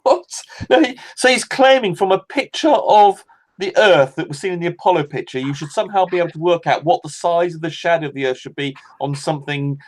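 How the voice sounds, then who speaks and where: A man's voice: on the high side, lively intonation, fairly quick. A man commenting in a casual chat, incredulous and explaining at length.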